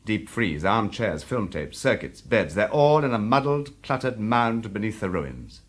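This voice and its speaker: A man narrating an audio drama in a theatrical, expressive way, telling the story vividly in the present tense. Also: on the low side, measured pace. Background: loud music.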